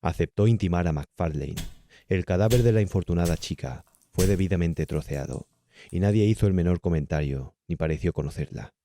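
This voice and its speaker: Male audiobook narrator, Castilian accent: low, dark tone, measured scripted delivery for gothic horror. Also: a little hiss or hum. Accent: Castilian Spanish. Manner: measured, enunciated storytelling.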